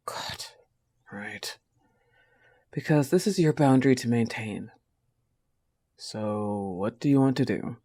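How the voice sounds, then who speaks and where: A man voicing a possessive but tender young goth wolf girlfriend, soft-spoken, in an intimate audio roleplay. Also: medium pitch, slow pace, pauses often, slightly rough. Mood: restrained, firm.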